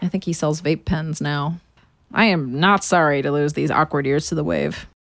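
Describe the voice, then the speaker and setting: A woman's voice: low voice, fairly even intonation, moderate pace, vocal fry, fairly bright tone, no room sound. An American woman in her thirties playing a sardonic woman narrating a confessional audio diary, dryly sarcastic and casually profane. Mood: dry, nostalgic. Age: 30s.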